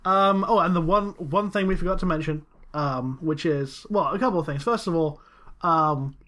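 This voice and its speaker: A man's voice: a little high, moderate pace, pushed and bright. A man co-hosting a podcast in casual chat, making dry quips and wordplay between many ums and stammers. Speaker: podcast co-host.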